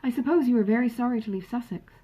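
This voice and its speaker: A woman's voice: a little high, quick pace, dark tone, breathy edge, pitched above the performer's own voice. A woman reading aloud as a gushing, chatty, forward young lady, effusive and emphatic, in a storybook. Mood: prying, eager. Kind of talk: acted dialogue in a storybook reading.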